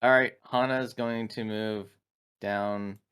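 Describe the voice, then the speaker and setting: A man's voice: fairly low pitch, fairly even intonation, slow, a bit bright, clean tone. A man talking casually as a player in a tabletop roleplaying game, describing his moves.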